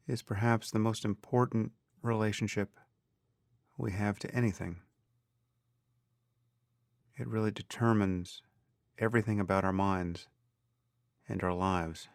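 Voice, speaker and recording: A man guiding a meditation as a teacher, speaking calmly and deliberately in measured phrases with pauses. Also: fairly low pitch, gravelly, clear, resonant tone, no echo, clean studio sound. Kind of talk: guided meditation narration.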